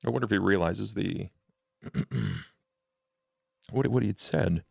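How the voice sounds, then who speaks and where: A low, heavily creaking voice for a fussy undead bureaucrat , played by a man. He speaks in measured, formal phrases with a deadpan air, as in a tabletop roleplay game, recorded close and dry. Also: no echo, exaggerated voice. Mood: deadpan, aggrieved. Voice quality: gravelly, creaky.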